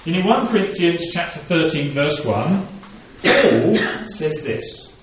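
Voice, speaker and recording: Man preaching, reads the bible out then explains it, highish voice, sounds far off in a big echoey hall. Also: sing-song, slow pace, slight background noise. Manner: expository Bible teaching, reading scripture aloud then explaining. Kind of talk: sermon. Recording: very echoey room, voice from a distance.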